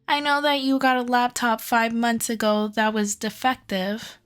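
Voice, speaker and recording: A woman's voice: on the high side, little pitch movement, a little slow, faint music behind, natural voice. A woman playing an obsessive, sweetly possessive young kidnapper in love, coaxing and doting in an ASMR audio roleplay. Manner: doting, coaxing, softly controlling.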